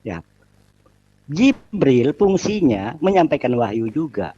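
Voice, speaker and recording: A husky-voiced man explains and defends his beliefs in a religious debate. His mid-pitched voice comes through dry, on dull, lo-fi audio. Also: very animated, slow pace, mellow, dry recording.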